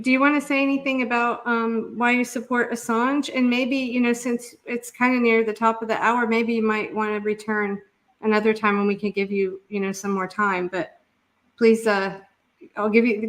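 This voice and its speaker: A woman's voice: fairly high pitch, flat delivery, normal speed. A woman hosting a casual live interview. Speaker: host.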